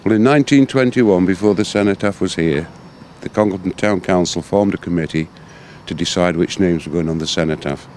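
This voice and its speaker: A man's voice: sits low, brisk, darkish tone, a little hiss or hum. An older man with an English accent, speaking plainly as he recounts his research in an interview. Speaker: interviewee, local researcher and author. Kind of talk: interview recollection.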